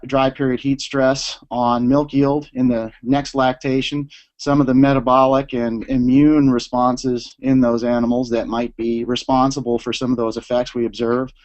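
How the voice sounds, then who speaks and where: A man with a slightly scratchy voice from a cold, giving a measured online lecture as a university professor, pausing often with "uh". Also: mid-range voice. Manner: measured, explanatory, many "uh" pauses. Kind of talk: lecture presentation. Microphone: remote presentation line. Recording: dull lo-fi online audio.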